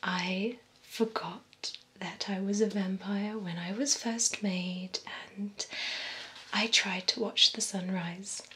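Woman playing a slow whispering vampire beautician. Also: mid-range voice, fairly even intonation, sharp tone. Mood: attentive, gently teasing. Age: young adult. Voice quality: breathy whisper. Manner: slow, soothing, formal client care. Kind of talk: ASMR role-play, whispered personal attention. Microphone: close binaural microphone. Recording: close whisper over clear ambient noise.

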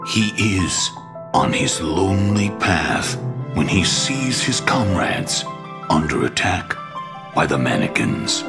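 Ominous game narrator: extremely deep, gravelly, epic and solemn, loud music behind. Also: male voice, very animated, full character voice. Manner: grave, deliberate. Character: solemn, ominous male storyteller of a fantasy war.

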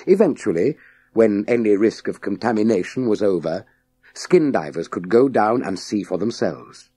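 A man narrating a children's science fiction audiobook in a measured, dramatic storytelling manner with deliberate pauses. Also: fairly low pitch, animated, warm tone, husky.

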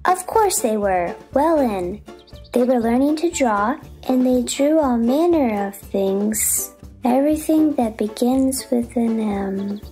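Woman as a slow, high-voiced sleepy dormouse. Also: expressive, warm tone, soft background music. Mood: dreamy. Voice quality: hoarse, feeble voice. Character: sleepy, touchy dormouse telling a rambling story. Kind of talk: stage play reading.

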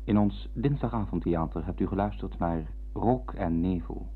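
Male radio announcer, low and husky, formal scripted delivery, narrow muffled old broadcast sound. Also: normal speed. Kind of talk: formal scripted closing credits.

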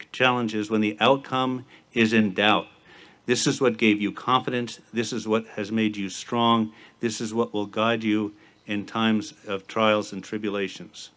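A middle-aged man, a school headmaster, giving a formal commencement address at a podium outdoors, speaking in measured, rhythmic lists. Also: fairly low pitch, fairly even intonation, a bit bright. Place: outdoor stage at a campus ceremony. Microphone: podium microphone with PA.

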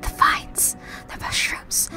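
A woman voicing a small, excitable, anxious firefly in a game, in a breathy, questioning whisper. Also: slow, over music, natural voice. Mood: distressed. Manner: exclamatory, questioning.

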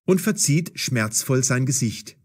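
A man narrates a story for language learners. His mid-pitched voice is a little breathy and husky, with a lively, dramatic melody over short sentences. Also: a little slow.

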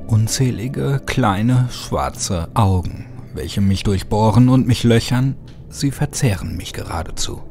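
A man reading a scripted first-person horror story dramatically, with brooding, deliberate phrasing. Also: fairly low pitch, vocal fry, warm tone. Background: music.

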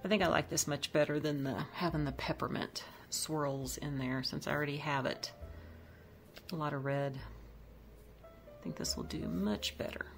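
A woman's voice: deep voice, normal speed, faint music behind. A woman chatting casually as she decorates her home kitchen, thinking aloud about each piece. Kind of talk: casual voice-over demo.

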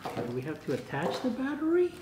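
A man's voice: extremely high pitch, huge pitch swings, fast. A man in his sixties chatting casually and thinking aloud during an unboxing, with puzzled asides and bits read out. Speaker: vlogger. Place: home dining room, indoors. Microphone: camera across the table. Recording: roomy echo, clear noise, camera across table.

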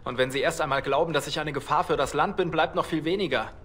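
A man's voice: fairly high pitch, fast talker, a bit bright, breathy edge. An actor voicing a nervous male outlaw in a video game, anxious and wryly complaining. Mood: gloomy, wry. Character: nervous male outlaw, fretful, fearful of hanging. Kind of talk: dramatic video game dialogue.

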